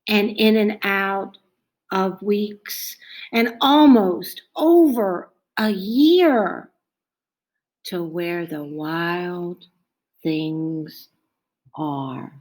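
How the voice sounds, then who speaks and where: A woman reading a children's picture book aloud in a dramatic, expressive way, stretching out phrases and dropping into hushed tones. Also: medium pitch, extremely slow. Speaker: storybook reader.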